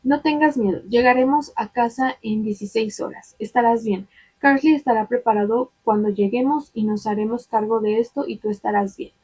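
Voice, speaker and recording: A woman reading the lines of a protective, tense young male vampire in her own husky voice, urgent and clipped. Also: on the high side, moderate pace, dark tone. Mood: reassuring.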